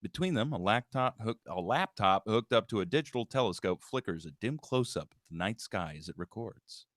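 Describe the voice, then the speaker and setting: Low-voiced man, dry close sound. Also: animated, moderate pace, fairly bright tone, no room sound. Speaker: host, writer and stage-direction reader of a live table read. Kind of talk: casual introductions and scripted screenplay narration.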